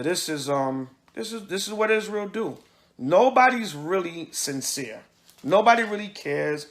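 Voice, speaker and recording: A man giving an informal preaching address as a religious leader, speaking emphatically with repetition and halting restarts. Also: on the high side, fairly bright tone. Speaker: priest and religious group leader. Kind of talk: livestreamed address mixing preaching and informal talk.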